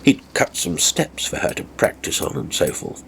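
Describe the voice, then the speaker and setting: A man's voice: deep voice, wildly swooping pitch, normal speed, gravelly, fairly bright tone, slight background noise. A man reading a story as a dramatic narrator, with a theatrical, wry raconteur's delivery.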